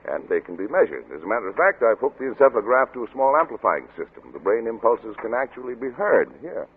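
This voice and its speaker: An actor playing an arrogant, obsessed middle-aged scientist, brisk and lecturing, in old radio drama style. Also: male voice, medium pitch, sing-song, slightly rough. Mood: eager, lecturing. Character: obsessed, arrogant male scientist, cold and impatient. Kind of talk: 1940s radio drama acting. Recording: narrow-band, noisy old radio recording.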